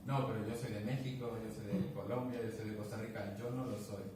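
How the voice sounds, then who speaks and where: A man's voice: fairly low pitch, fairly even intonation, fairly quick. A man in casual conversation, telling anecdotes and acting out the dialogue, with many restarts. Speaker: guest speaker. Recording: echoey room, distant mic, background noise.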